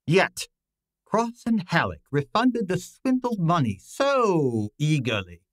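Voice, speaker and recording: A man's voice: a little high, very animated, no echo, studio quality. Man acting an imperious, formal radio detective. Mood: pondering, authoritative. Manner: formal, deliberate, interrogating. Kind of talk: old-time radio drama acting.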